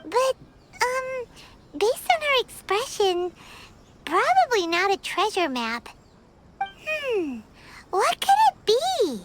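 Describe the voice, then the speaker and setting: A squeaky little floating fairy buddy, slow bouncy delivery, calls herself by name. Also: female voice, very animated, full character voice. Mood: excited. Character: tiny floating fairy companion, chirpy, excitable, talks of herself in third person. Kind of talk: video game dialogue. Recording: game audio with loud music behind.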